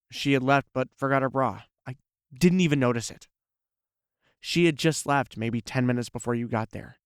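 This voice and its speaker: Audio drama lead: male actor as regretful, lovesick ex, earnest and halting, pleading delivery, dry close recording. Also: mid-range voice, dry recording, close to an everyday voice. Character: remorseful, lovesick cheating ex-boyfriend, pleading earnestly.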